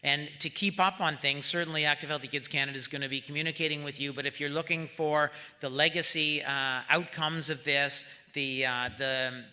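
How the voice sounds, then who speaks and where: A man with a very bright, forceful voice delivers a closing speech to conference delegates. He speaks as the host, urging his listeners on, and often halts and hesitates between phrases. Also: fairly high pitch, fairly even intonation. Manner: hesitant, halting, rallying the audience. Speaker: summit host giving closing remarks.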